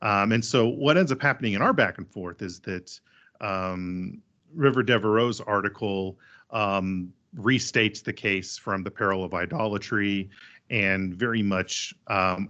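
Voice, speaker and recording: A man's voice: on the low side, a little slow, a bit bright, highs cut off. A man who is a priest, speaking in a thoughtful podcast discussion and explaining things at length.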